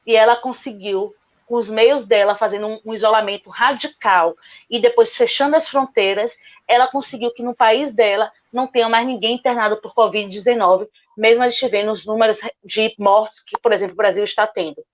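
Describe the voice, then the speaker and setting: A woman's voice: on the high side, steady tone, brisk, lo-fi sound. A woman from Salvador with a Bahian accent, answering questions on an online panel in an earnest, argumentative way. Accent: Bahian Portuguese. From Salvador, Bahia, Brazil. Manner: earnest, argumentative, repeats "justamente". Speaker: guest speaker, lawyer.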